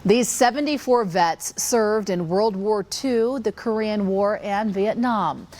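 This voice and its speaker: A woman's voice: medium pitch, slow pace, darkish tone. A woman with an American accent reading scripted television news as an anchor.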